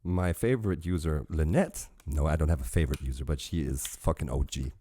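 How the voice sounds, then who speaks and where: A man's voice: extremely low pitch, fairly quick, mellow, husky. A man hosting a reaction channel, rambling casually with chatty asides while sipping a drink.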